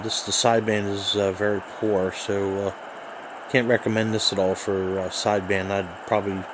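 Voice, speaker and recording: A man giving a casual, unscripted review in a halting, hesitant manner, recorded at home. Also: on the low side. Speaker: amateur radio reviewer. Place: indoors at home. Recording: clear background noise, home recording.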